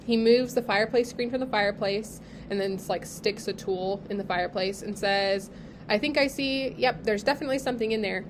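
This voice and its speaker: A woman who is still getting over being sick recaps a TV episode on a podcast. She talks casually and fills her sentences with "like" and "um". A steady noise runs under her voice. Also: medium pitch, a little fast. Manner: chatty, many fillers like "like" and "um". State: recovering from illness. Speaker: podcast co-host.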